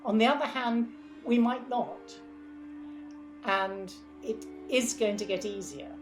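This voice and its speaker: A woman's voice: a little high, slow. Older woman, interview guest, emphatic, forthright, thinks aloud, faint music behind. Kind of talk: interview answers.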